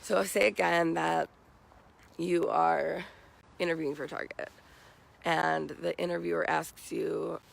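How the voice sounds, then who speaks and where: Raspy, creaky young American man. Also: fairly high pitch, level tone, a little slow, long pauses, poor audio. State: morning hoarseness. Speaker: vlogger giving job interview tips. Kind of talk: casual advice vlog. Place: outdoors.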